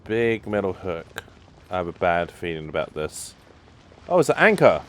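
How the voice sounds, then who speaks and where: Very low-voiced woman gamer, casual. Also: sing-song, normal speed, slight background noise. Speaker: gamer reacting to a game. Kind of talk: casual let's play commentary.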